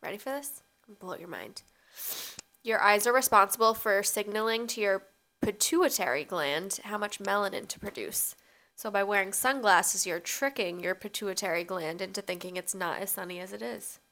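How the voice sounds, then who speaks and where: A woman's voice: mid-range voice, normal speed, sharp tone. A young woman co-hosting a podcast, chatting casually with a stuffy, sniffly nose from sneezing, laughing often. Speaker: podcast co-host. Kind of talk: casual chat.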